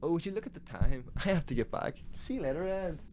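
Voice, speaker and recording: A man's voice: on the high side, sing-song, fast, darkish tone. Breezy, dismissive townsman, played by a man, noisy recording. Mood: breezy, evasive. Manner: casual, dismissive. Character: unbothered, dismissive small-town men, brushing off worries. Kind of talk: audio drama acting. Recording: clear noise under ambience.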